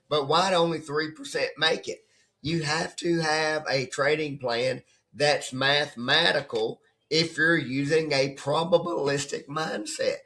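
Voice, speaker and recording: A hoarse man who has nearly lost his voice, talking as a trading coach in a rambling, conversational way and often checking in with "right?". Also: a little high, pushed and bright. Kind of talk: casual off-the-cuff coaching talk. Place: at his computer desk.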